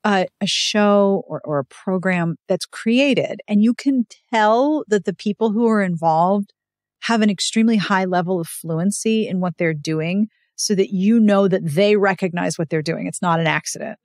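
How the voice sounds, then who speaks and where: A woman chats casually as a podcast host. Her pitch sits in the middle range and she talks with enthusiasm, often exclaiming. The sound is dry, with no room echo. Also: moderate pace, darkish tone. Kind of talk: casual interview chat.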